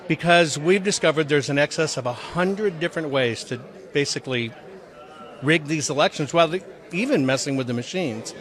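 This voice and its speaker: Guy being interviewed, voice a bit on the high side, earnest n emphatic explainer. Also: animated, normal speed, fairly bright tone. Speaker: interview guest, audit presenter. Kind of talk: conversational interview answers.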